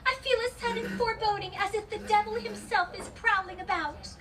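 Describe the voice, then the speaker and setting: A woman's voice: extremely high pitch, fairly even intonation, a little fast, a crowd in the background. A woman acting a pure young farm damsel in hammy radio melodrama, overwrought and innocent. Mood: fretful innocence. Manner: breathy melodramatic indignation, overwrought. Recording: live stage with audience noise.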